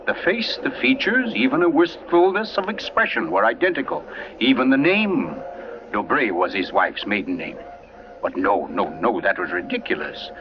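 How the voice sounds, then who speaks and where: An actor playing an urbane crime writer who tells a mystery with dramatic pauses, in 1940s radio style. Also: male voice, mid-range voice, sing-song, background noise, close to an everyday voice. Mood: dramatic, suspenseful. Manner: suave, dramatic, savoring pauses. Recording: muffled vintage radio broadcast with music.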